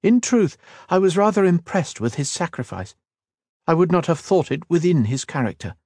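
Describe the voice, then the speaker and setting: A man voicing a shapeshifting creature that has taken the form of an elf woman, speaking in a soft, measured, coaxing way. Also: on the high side, very animated, no echo, voice pushed up. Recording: dry close studio read.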